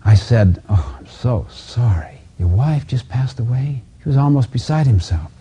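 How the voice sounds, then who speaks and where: Animated self-help lecturer, dark husky male voice. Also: medium pitch. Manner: emphatic, deliberate, repeats key phrases. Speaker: self-help seminar speaker. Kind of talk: motivational teaching lecture with personal anecdotes. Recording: dull, lo-fi audio.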